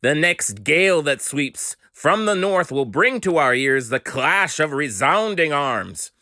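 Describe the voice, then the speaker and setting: A man doing a dramatic, oratorical reading in a deliberate, declamatory and emphatic manner. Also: fairly high pitch, sing-song, bright, forceful tone, dry recording, clean studio sound. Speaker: amateur dramatic reader.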